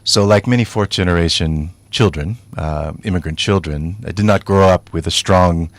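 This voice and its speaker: A man speaking as an author guest on a radio interview, thoughtful and measured, with frequent pauses. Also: low voice. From United States.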